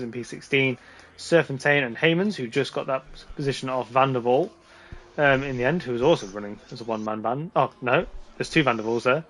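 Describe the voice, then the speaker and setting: A man with a slightly hoarse voice giving casual, informal live commentary on a sim race. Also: mid-range voice, fairly bright tone, a little hiss or hum.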